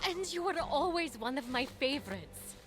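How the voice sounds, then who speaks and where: A woman acting an earnest, plucky young heroine in a video game cutscene, expressive and youthful. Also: high-pitched, normal speed. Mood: encouraging. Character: plucky young heroine, admiring little sister.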